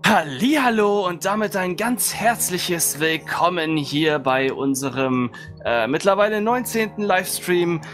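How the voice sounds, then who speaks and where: A man's voice: high-pitched, lively intonation, measured pace, a bit bright, soft background music. A man hosting a livestream, moving between casual chat and narration of horror stories. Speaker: livestream host and horror story reader.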